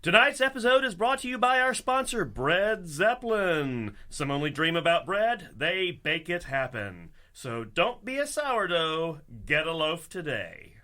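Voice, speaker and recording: A man's voice: a little high, big pitch swings, unhurried, few pauses, bright, forceful tone. A man hosting as dungeon master, narrating a scripted fantasy comedy in a theatrical storytelling style.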